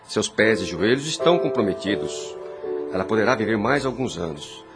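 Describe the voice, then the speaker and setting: An actor playing a grave, compassionate family doctor in a Brazilian radio soap, solemn and formal, with a Brazilian accent. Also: male voice, on the low side, expressive, moderate pace, lightly acted. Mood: grave concern. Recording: clear music under the voice.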